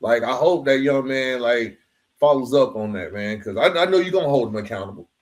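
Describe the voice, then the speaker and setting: A man's voice: medium pitch, brisk. A man hosting a podcast in a casual interview style, affirming his guest and peppering his talk with informal, buddy-like address. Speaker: podcast host.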